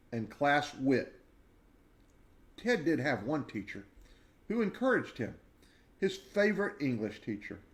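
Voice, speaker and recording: Male storybook reader, mid-range voice, lively swooping intonation, expressive delivery with deliberate dramatic pauses. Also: medium pitch. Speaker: reader of a children's biography. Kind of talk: expressive storybook read-aloud.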